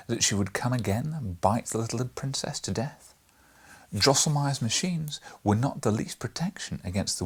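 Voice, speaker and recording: A man reading a children's classic aloud as an audiobook narrator, measured and dramatic in his storytelling. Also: on the low side, slightly rough.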